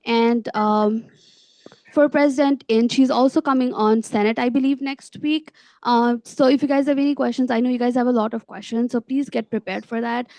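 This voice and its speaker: A young woman with a fairly high, creaky voice speaks as a student officer at a meeting. The sound is close and dry, with little room around her. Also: fairly even intonation, moderate pace, mellow, no echo, rough recording. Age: young adult. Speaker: student government vice president. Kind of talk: spoken officer report at a meeting.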